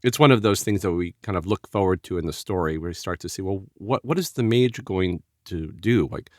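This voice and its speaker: Man, deep pitch, dry close recording, podcast co-host, casual measured discussion. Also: animated, a little mellow, no room sound.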